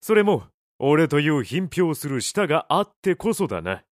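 An actor playing a gruff middle-aged cafe owner in an audio drama, blunt and offhand with cheeky retorts. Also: male voice, on the high side, very animated, moderate pace, dry recording, studio quality. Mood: retorting. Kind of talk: Japanese audio drama acting.

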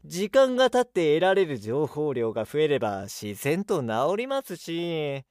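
A man's voice: high voice, no echo, clean studio sound, full character voice. An actor playing a polite, analytical male technician in an audio drama, explaining patiently in formal speech. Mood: pleased. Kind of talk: Japanese audio drama acting.

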